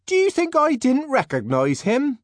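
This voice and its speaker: A man voicing a faded middle-aged woman who pleads in a shrill, trembling voice. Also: very animated, a little slow, dry recording. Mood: indignant pleading.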